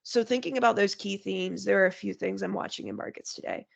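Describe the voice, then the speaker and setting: Woman, market strategist guest, nasal from a cold, heavy vocal fry, clear and conversational. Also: medium pitch, fairly quick, dull audio. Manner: explains in clear plain terms, lists points. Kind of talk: conversational podcast interview.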